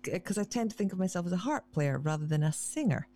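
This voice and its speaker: A woman talks loosely and self-deprecatingly as a guest, circling back and restarting her thoughts. Her voice is fairly low and warm. Soft music hums faintly behind her. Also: expressive, fast. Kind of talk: casual conversational interview.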